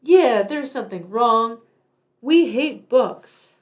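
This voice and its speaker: A grumpy storybook monster, acted out by a woman, always hungry and full of complaint. The delivery is blunt and exclaiming, with big swoops in pitch. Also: animated, takes it slowly. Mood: grumpy, disgusted. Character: grumpy hungry many-headed monster who hates books.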